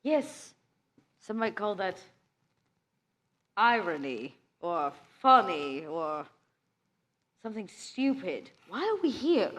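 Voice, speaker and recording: A woman's voice: mid-range voice, sing-song, takes it slowly, long pauses, fairly bright tone. A woman playing a tabletop game live, bantering in and out of character in a dry, sardonic way. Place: live stage at a gaming convention. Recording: noisy live convention recording.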